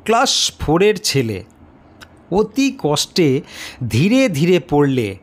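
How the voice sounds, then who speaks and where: Slow, higher-pitched male storyteller. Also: wildly swooping pitch. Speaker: story narrator. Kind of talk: first-person scripted literary narration.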